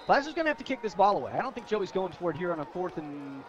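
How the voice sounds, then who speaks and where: Fast high-voiced American football analyst. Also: male voice, sing-song. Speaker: radio color commentator. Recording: dull lo-fi outdoor radio broadcast.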